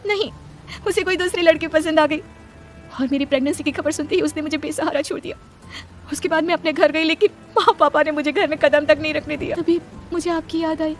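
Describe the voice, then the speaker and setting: A woman's voice: high-pitched, steady tone, brisk, faint music behind, close to an everyday voice. A woman voicing a polite, plaintive young pregnant woman in a horror cartoon story. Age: young adult. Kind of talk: dramatic Hindi cartoon story dialogue.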